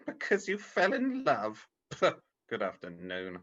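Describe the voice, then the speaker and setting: Scornful old skinflint male actor, forceful and dismissive, highly animated radio-play delivery, lo-fi call audio. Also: slow, full character voice. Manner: curt, sneering, dismissive. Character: miserly, scornful old businessman uncle and harsh boss. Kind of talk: vintage radio drama acting. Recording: dull, lo-fi video call.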